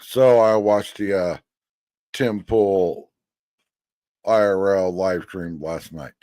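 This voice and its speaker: A man's voice: low voice, takes it slowly, warm tone, no echo. A man in his fifties giving blunt, folksy commentary, now and then swearing. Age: 50s. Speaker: commentator reacting to a hearing. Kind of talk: casual commentary over a clip.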